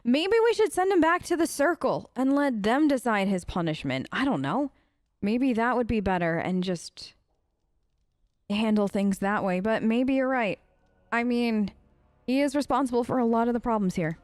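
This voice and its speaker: High-voiced woman improvising game role-play. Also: animated, normal speed, darkish tone, no room sound, clean studio sound. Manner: informal, conversational. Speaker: let's play host.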